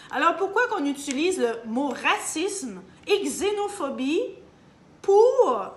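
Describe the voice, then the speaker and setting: Canadian French woman, echoey room. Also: high voice. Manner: emphatic, hesitant with many fillers, addresses viewers directly. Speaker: video commentator. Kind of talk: opinion monologue to camera. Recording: echoey room, faint background hum.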